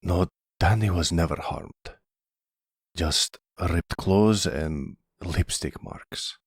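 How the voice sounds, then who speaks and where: A man playing a timid, wounded young mobster son with a Russian accent, speaking haltingly and formally in an ASMR roleplay drama. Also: low voice, slightly rough, dry recording, studio quality, heavily acted. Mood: subdued, hurt. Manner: halting, formal phrasing without contractions.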